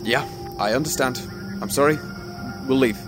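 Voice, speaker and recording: A man's voice: mid-range voice, normal speed, music in the background, natural voice. An actor playing a cautious young man in an audio drama, wary and exasperated as he resists. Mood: reluctant. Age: young adult.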